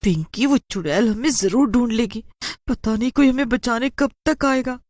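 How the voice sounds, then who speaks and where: A woman's voice: a little high, normal speed, darkish tone, no room sound, clean studio sound, pitched above the performer's own voice, lightly acted. A woman voicing a scared but scheming young girl with an earnest, insistent, childlike delivery.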